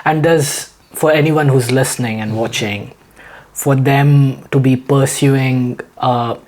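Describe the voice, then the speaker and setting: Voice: medium pitch, steady tone, slow pace, darkish tone, poor audio. An interview host who asks questions hesitantly, restarting mid-sentence and murmuring "mm" while the guest talks. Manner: hesitant questions, constant "mm" backchannel. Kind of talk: casual interview.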